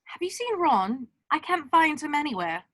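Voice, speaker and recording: A woman's voice: high-pitched, big pitch swings, normal speed, pushed and bright, no room sound, exaggerated voice. A woman playing an earnest, worried, clever teenage schoolgirl with an insistent manner in an amateur script read. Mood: concerned.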